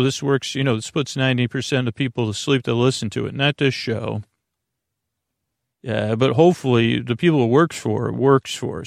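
A man with a creaky, fry-laden voice at an average pitch hosts a bedtime podcast. He meanders conversationally through tangents, mumbling, stumbling and gently putting himself down. The sound is dry, with no room echo. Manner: meandering, mumbling, stumbling, self-deprecating, with tangents and mixed-up words. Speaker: podcast host. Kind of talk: rambling, conversational bedtime sleep podcast monologue.

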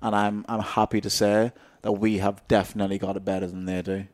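A man's voice: on the low side, little pitch movement, brisk, a little mellow. A young man hosting a podcast, chatting casually in a rambling, self-interrupting, joking way. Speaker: podcast host. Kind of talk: casual chat.

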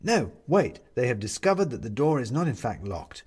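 Animated, slightly husky male storyteller. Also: mid-range voice, moderate pace. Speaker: audiobook narrator. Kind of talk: scripted storytelling narration.

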